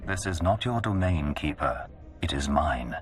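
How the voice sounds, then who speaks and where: An actor playing an imperious, menacing dark lord in a video game, pompous and commanding. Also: male voice, extremely low pitch, animated, faint music behind. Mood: cold contempt. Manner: slow-burning, pompous, commanding. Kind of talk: scripted game cutscene dialogue.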